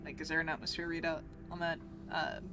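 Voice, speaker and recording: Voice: mid-range voice, flat delivery, quick pace. Casual tabletop player thinking aloud, music behind. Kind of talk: casual in-game discussion.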